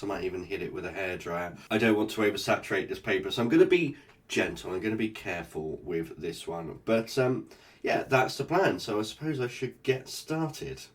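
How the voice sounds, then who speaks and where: Stuffy-nosed man, low voice. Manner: relaxed, chatty, explains as he paints. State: stuffy from a cold. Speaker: art channel host. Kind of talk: casual art tutorial narration.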